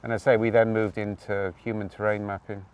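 A man from Wales telling his story outdoors in an interview, animated, with quick asides and tag questions. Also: on the low side, smooth, recorded from a distance. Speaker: guest. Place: outdoors on open hills.

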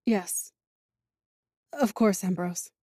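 A woman playing a commanding, coolly menacing villain leader in a scripted audio drama, speaking in a poised, measured way. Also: medium pitch, fairly even intonation, warm tone, breathy edge, no room sound, studio quality. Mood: meek, deferential.

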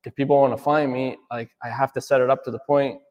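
Man, 30s, casual rambling talk, lots of fillers, middle pitch, long reverb. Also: little pitch movement, fast talker, lo-fi sound. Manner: rambling, filler-heavy, says "right?" often. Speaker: auto detailer, business owner.

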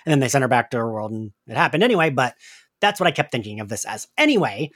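A man's voice: medium pitch, sing-song, quick pace, fairly bright tone, no echo, studio quality. A man hosting a podcast in a casual, chatty and emphatic conversational way. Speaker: podcast host. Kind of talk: casual discussion.

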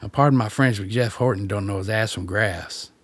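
Man acting a low-voiced, folksy backwoods guide. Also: brisk, slightly rough, no echo. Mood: wary, blunt. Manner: folksy, plain-spoken, colloquial. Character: gruff rural backwoods guide, wry, unfazed, blunt. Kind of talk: radio play acting.

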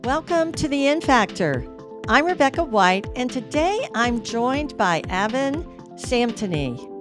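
A woman hosting a podcast who reads a polished introduction smoothly, then chats with her guest. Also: fairly high pitch. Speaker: podcast host. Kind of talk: scripted introduction, then interview chat. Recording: music clearly behind the voice. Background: music.

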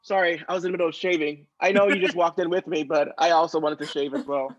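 High-voiced petulant young-sounding male actor played by a man. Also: fairly even intonation, quick pace, natural voice. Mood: petulant, apologetic. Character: petulant actor son who pistol-whips his parents. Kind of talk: improvised audio comedy.

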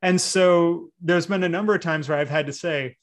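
A man's voice: fairly high pitch, normal speed. A man in his forties, a writer guest chatting in a laid-back, rambling way, laughing often and using surfer slang. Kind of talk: casual podcast conversation.

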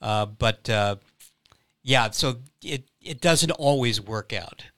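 A man speaking as a playwright guest on a podcast, reflective and hesitant, pausing with fillers. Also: mid-range voice, a bit bright, no room sound. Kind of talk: casual podcast chat.

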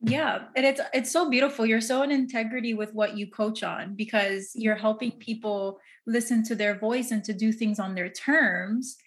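A woman hosting a podcast interview, speaking with gushing enthusiasm and warm praise for her guest. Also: fairly high pitch, steady tone, moderate pace, hardly any pauses. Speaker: podcast host and coach.

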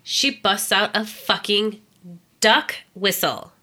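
A woman's voice: mid-range voice, slow pace, sharp tone. Congested woman telling stories casually. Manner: chatty storyteller, swears freely, laughs often. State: congested from a cold. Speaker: podcast co-host, dog groomer. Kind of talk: casual chat. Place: indoor room, recorded on microphone.

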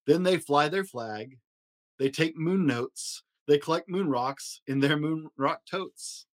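A man hosting a story podcast, reading a rhyming children's picture book aloud in an expressive, rhythmic way. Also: on the high side, takes it slowly. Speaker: podcast host and story reader.

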